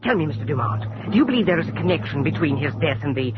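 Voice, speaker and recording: A man's voice: on the high side, big pitch swings, racing, loud music behind the voice. An actor playing a fussy, flustered, prissy museum man in a 1940s radio drama, prim and wordy. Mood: surprised, eager. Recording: muffled, old 1940s radio broadcast.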